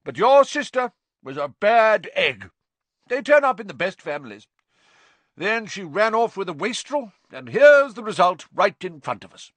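A man voicing a loud, bullying, drunken middle-aged aunt with a booming, scornful manner. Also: very animated, normal speed, no echo, heavily acted.

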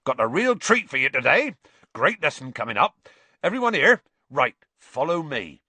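A man's voice: very animated, bright, forceful tone, breathy edge, no echo, full character voice. A man voicing a huge, eager gamekeeper in an audiobook, hearty but easily flustered. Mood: hearty, excited. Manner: hearty, booming calls, halting when unsure. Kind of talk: audiobook character voice.

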